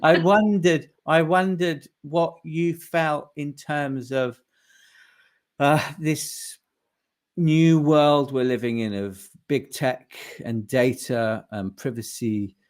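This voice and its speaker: A man hosting a casual interview, speaking haltingly and searching for his words. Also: on the high side, fairly even intonation. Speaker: podcast host.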